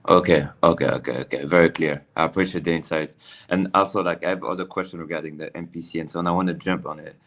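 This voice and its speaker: Deep-voiced man hosting a casual call. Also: fairly even intonation, quick pace, fairly bright tone. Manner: friendly, repeats phrases, loose grammar. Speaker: host. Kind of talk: casual interview chat. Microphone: video call.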